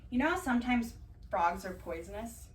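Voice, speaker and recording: A woman doing a casual ASMR-style storytime, reading aloud and drifting into dry, deadpan asides. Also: fairly high pitch, moderate pace. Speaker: cat vlogger reading bedtime picture books aloud. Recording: distant mic, roomy background noise.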